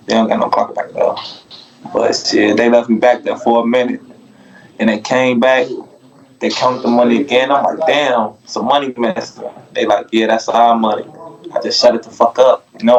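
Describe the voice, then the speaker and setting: A man's voice: mid-range voice, highs cut off. Profane rambling man telling a story. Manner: profane, rambling, keeps saying "you feel me". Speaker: podcast guest. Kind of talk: casual storytelling.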